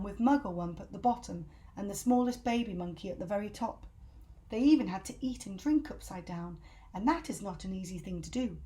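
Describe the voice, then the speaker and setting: A woman reading a children's book aloud in an animated, emphatic storytelling style. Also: medium pitch. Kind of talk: expressive scripted narration for children.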